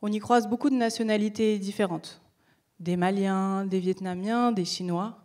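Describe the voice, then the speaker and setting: A young woman founder giving a prepared stage talk, measured, with rhetorical questions and light humor. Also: medium pitch, fairly even intonation, a little mellow. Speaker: project founder presenting.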